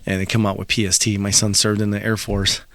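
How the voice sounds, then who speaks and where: A man's voice: lowish voice, level tone, fast talker, fairly bright tone, dry recording. A middle-aged man from El Salvador, a podcast guest telling personal stories in an easygoing, reflective way. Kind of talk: casual podcast conversation.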